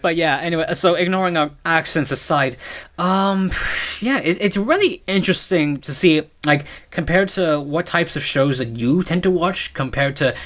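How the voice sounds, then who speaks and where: Young guy w/ american-latin mixed accent, kinda high voice, rambles a lot, lots of "like". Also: normal speed, fairly bright tone. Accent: mix of American and Latin. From United Kingdom. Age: young adult. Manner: rambling, heavy on "like" and restarts. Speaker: podcast host. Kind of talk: casual chat.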